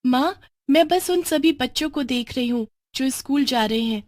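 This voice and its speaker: A woman voicing a young half-goat witch girl, an animated, eager daughter in a storybook cartoon. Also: high voice, moderate pace, no echo, clean studio sound, pitched above the performer's own voice, natural voice. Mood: pleading. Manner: excitable, pleading, turning gleefully menacing.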